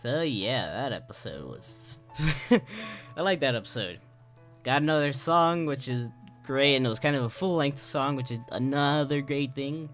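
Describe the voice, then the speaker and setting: A woman's voice: extremely low pitch, normal speed. A woman giving casual, giggly reaction commentary over a cartoon, often echoing lines and singing along. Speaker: video commentator. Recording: loud music behind the voice.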